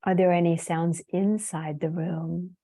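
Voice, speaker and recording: A woman leading a guided meditation as a yoga teacher, soothing and unhurried, leaving long pauses between phrases. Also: fairly low pitch, little pitch movement, warm tone.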